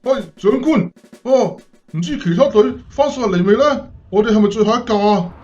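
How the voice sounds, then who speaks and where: A man's voice: high-pitched, moderate pace, a little mellow, highs cut off, natural voice. Eager young airman, acted by a man, radio drama, faint music underneath. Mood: dutiful.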